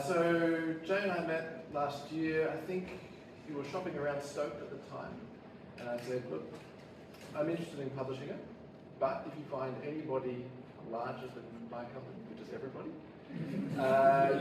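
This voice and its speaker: A man with a croaky, tired voice tells his story with dry self-deprecating humor. He speaks from a distance, and the big hall fills his voice with echo over a constant hiss of noise. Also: medium pitch. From Australia. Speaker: board game publisher. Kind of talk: conference talk. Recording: echoey hall, speaker far from mic, audible noise.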